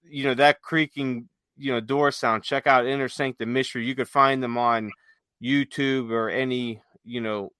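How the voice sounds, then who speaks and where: A man's voice: medium pitch, steady tone, moderate pace, fairly bright tone, clean tone, dry recording. A man co-hosting a podcast in casual, enthusiastic, rambling explanations, sometimes reading from notes. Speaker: podcast co-host. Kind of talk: casual chat with some read-aloud notes.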